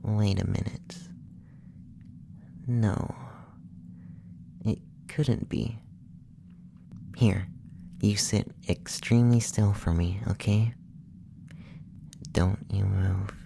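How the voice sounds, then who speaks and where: A soft-spoken alien abductor, acted by a man, curious and condescending, murmurs slowly in a close ASMR roleplay. The voice is gravelly and half-whispered, with musing pauses. Clear noisy ambience sits behind it. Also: fairly low pitch, fairly even intonation, full character voice. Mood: suspicious, hushed.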